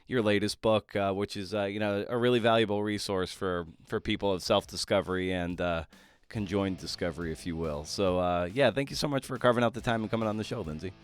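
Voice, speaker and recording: Male podcast host, lower register, wry and self-deprecating, conversational, very dry recording. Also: a little fast, clean tone, dry recording. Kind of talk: casual podcast interview and wry monologue.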